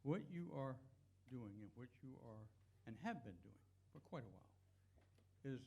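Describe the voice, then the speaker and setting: A man's voice: medium pitch, animated, moderate pace, dark tone, breathy edge. A man leading a church service, giving blessings and announcements in an earnest, unscripted, encouraging way.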